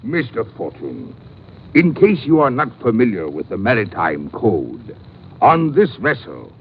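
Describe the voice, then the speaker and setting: An actor playing a strict, pompous ship captain in a 1950s radio drama. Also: male voice, on the low side, wildly swooping pitch, a little slow, heavily acted. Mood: stern. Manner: stiff, authoritative. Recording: tinny noisy 1950s radio broadcast.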